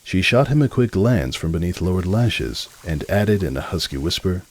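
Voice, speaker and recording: Deep, expressive male storyteller. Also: normal speed. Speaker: narrator. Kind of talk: dramatic storybook narration.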